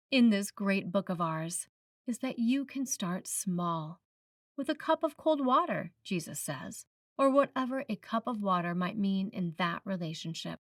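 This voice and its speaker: A woman preacher reading a written sermon in a measured, reflective manner, putting questions to the listener. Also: mid-range voice, dry recording, studio quality, recorded from a distance. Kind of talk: sermon, read from a script.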